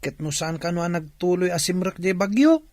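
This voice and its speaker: A man's voice: high-pitched, expressive, normal speed, darkish tone, dry recording, studio quality. A man playing an eager village neighbor bringing news in an Ilocano radio drama. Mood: hurried, newsy.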